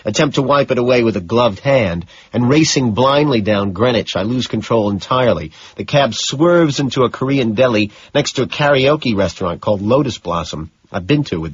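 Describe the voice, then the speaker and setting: A man's voice: lowish voice, slightly rough, highs cut off. A man narrating a novel as an audiobook, in a dramatic scripted reading.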